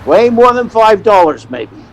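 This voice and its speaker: Man playing a high-voiced, slick radio-play talent agent. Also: very animated, measured pace, muffled recording, slight background noise. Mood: slick, persuasive. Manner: slick hustler, chatty storyteller. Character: fast-talking, scheming male theatrical agent narrating his story. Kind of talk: old-time radio play narration and dialogue.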